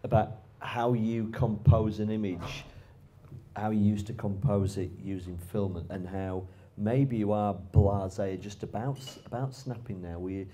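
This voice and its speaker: A man's voice: lowish voice, steady tone, measured pace, warm tone, a little hiss or hum. A man interviewing a guest, asking curious questions in a hesitant way with repeated words.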